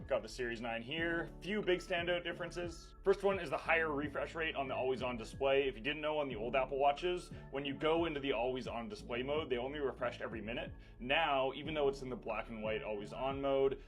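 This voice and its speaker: A man giving a casual tech review in an informal, conversational, enthusiastic way, as a vlogger would. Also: medium pitch. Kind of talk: casual product review vlog. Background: background music.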